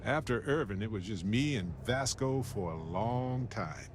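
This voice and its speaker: A man playing a warm, sincere middle-aged space explorer in a video game, speaking in a measured, wistful way. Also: on the low side, expressive, background noise. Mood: wistful, reflective. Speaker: video game character actor. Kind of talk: dramatic video game dialogue.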